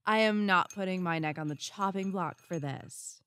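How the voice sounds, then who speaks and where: A woman's voice: lowish voice, moderate pace, fairly bright tone, clear, resonant tone, dry recording, natural voice. A woman playing a classy, guarded, sardonic witness in an audio drama. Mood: defensive.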